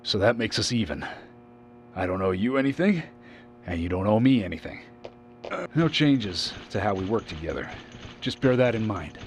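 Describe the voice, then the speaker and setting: A blunt, proud gym-loving deputy. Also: male voice, fairly low pitch, animated, normal speed, long pauses. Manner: blunt and proud, almost boastful. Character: proud, blunt male small-town deputy devoted to workouts. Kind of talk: video game cutscene voice acting. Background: game music.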